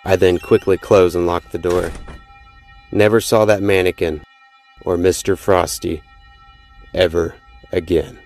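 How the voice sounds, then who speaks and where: Male horror podcast narrator, deep voice, suspenseful first-person delivery over music. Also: fairly even intonation, measured pace, pauses often, darkish tone. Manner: dramatic, suspenseful storytelling. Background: music behind the voice.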